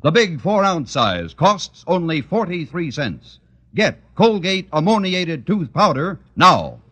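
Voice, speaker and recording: A man's voice: a little high, big pitch swings, slow pace, fairly bright tone. An American man reading a scripted 1940s radio commercial as announcer.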